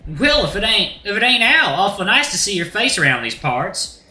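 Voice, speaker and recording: A man's voice: high voice, very animated, normal speed, sharp tone, reverberant, faint music behind, voice pushed up, exaggerated voice. A man voicing a flamboyant, sassy rooster drag queen bar owner with a folksy, effusive Southern American accent. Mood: sassy, welcoming. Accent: put-on American Southern. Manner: folksy, effusive, uses terms of endearment.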